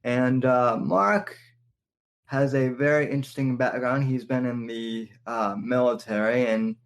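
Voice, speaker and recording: A male podcast host who speaks slowly and deliberately. His voice carries a gravelly, creaky edge and is set at an ordinary middle pitch. He pads his sentences with frequent ums and uhs. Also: steady tone. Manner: halting, with many fillers. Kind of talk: podcast interview hosting.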